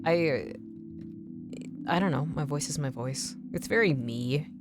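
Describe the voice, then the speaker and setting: A young woman streamer with a slightly raspy, nerdy voice, chatting casually and encouragingly to her viewers in a rambling way. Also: sits low, a little slow. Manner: rambling, encouraging, lots of "like" and restarts. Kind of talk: casual chat to stream viewers while drawing. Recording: music playing in the background. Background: music playing.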